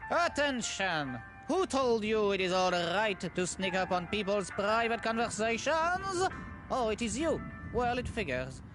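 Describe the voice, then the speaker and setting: Pompous high-voiced gentleman. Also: very animated, a little slow, poor audio. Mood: haughty, annoyed. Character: pompous, irritable, suspicious gentleman. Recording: music behind the voice.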